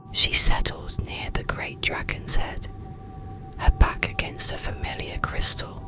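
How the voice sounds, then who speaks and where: A narrator reading a soothing bedtime story in a breathy, unvoiced ASMR whisper, with gentle pauses. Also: very deep voice, rough recording. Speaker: audiobook narrator.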